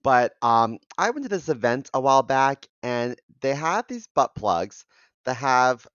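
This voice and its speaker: Zakatarzony mężczyzna, sucho nagrany. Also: male voice, medium pitch, measured pace, clean tone, no room sound, highs cut off. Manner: dry, deadpan jokes. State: congested, losing his voice. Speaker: podcast co-host. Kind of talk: casual chat.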